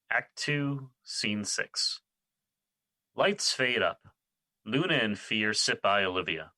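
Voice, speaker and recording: A man narrates an audio drama's stage directions from a script. His voice is very low, with a strikingly bright, sharp edge. The sound is close and dry. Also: no echo.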